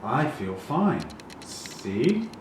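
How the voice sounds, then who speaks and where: A man voicing a young boy frog from a storybook, whiny, reluctant and excitable, given to exclaiming. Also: mid-range voice, drawn-out words. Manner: whining, exclaiming. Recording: very echoey, distant, noisy room.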